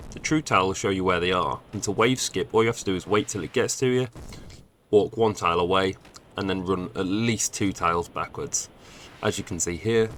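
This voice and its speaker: A man's voice: on the low side, vocal fry, fairly bright tone. A young man with a cold, chatty and explaining game plugins in a tutorial voice-over with dry asides. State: has a cold. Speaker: gaming guide creator.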